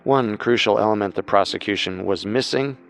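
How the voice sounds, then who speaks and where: A man's voice: fairly low pitch, brisk. A man narrating a scripted true-crime story as co-host.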